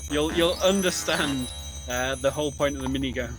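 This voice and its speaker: A man giving casual live co-commentary on a speedrun, explaining in halting phrases and repeating words. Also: mid-range voice, low-quality recording. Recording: game music behind the voice. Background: video game music.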